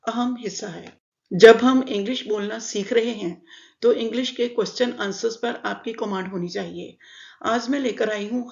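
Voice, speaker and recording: An older woman with an Indian accent, a former principal and English lecturer, giving a spoken English lesson and repeating each sentence slowly and deliberately. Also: fairly high pitch, gravelly. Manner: slow, deliberate repetition of model sentences. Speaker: English teacher. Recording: slightly dull lo-fi sound.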